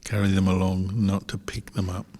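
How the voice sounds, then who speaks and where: A man, a Buddhist monk, guiding a meditation calmly and without hurry, with a husky voice and long pauses between short phrases. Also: low voice. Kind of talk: guided meditation instruction. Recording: reverberant hall, long echo.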